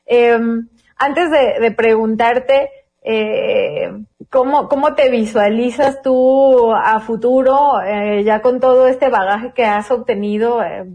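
A woman's voice: fairly high pitch, a little mellow. A woman interviewer asking questions hesitantly, searching for words with fillers.

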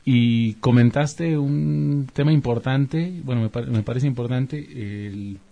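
Mellow male interviewer. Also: mid-range voice, takes it slowly, creaky, highs cut off. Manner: calm, agreeable, short affirmations. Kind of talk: conversational interview hosting.

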